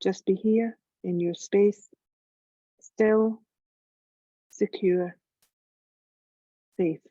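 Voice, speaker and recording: Soft mellow woman guiding meditation. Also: mid-range voice, no room sound, muffled recording. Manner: gentle, calm, soothing, measured with long pauses. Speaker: yoga nidra meditation teacher. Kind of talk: slow guided relaxation meditation narration.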